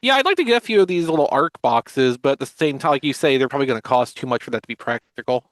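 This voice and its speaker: A man's voice: medium pitch, expressive, quick pace, a bit bright, dry recording. A man co-hosting a casual podcast, explaining details in a matter-of-fact way. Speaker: podcast co-host. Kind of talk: casual roundtable chat.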